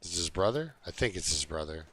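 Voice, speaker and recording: A man's voice: sits low, lively intonation, fairly quick, a bit bright, husky. A man live streaming a video game, chatting casually and jokingly to his viewers in an easygoing, rambling way.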